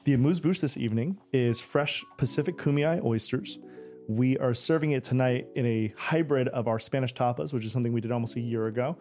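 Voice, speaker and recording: A man's voice: mid-range voice, a little fast, a little mellow, soft background music. Male chef, mid-range voice, earnest food presenter. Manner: earnest and descriptive, talking through ingredients. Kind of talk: presenting a dish.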